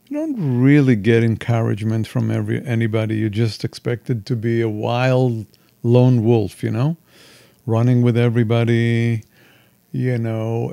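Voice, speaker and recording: Older man, candid storyteller, dry close sound, gravelly tone. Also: medium pitch, dark tone, no echo. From Israel. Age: 60s. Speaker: guest. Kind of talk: casual interview chat.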